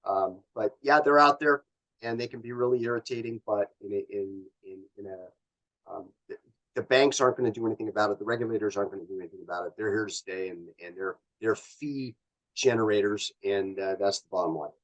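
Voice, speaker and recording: A middle-aged North American man with a fairly low voice. He talks as an executive guest in a casual interview, explaining things in a rambling, colloquial way. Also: brisk, fairly bright tone, lo-fi sound. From born in Canada, grew up in Los Angeles.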